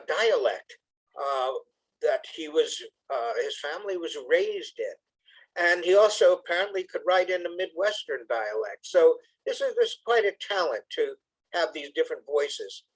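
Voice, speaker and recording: Man, higher-than-average pitch, unhurried, measured, expressive, poetry reading and informal introduction. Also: poor audio. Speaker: congregation member reading poetry.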